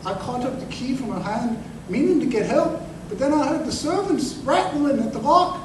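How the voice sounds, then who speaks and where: A man's voice: very high-pitched, animated. Man acting aging crippled veteran, bitter and humble, rustic storyteller, old-time radio style, echoey room, noisy recording. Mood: urgent confession. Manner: halting, rustic grammar, impassioned storytelling. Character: aging, crippled, humble ex-soldier turned wandering conjurer, bitter and wistful. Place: live performance in a museum. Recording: roomy, distant, noisy live stage recording.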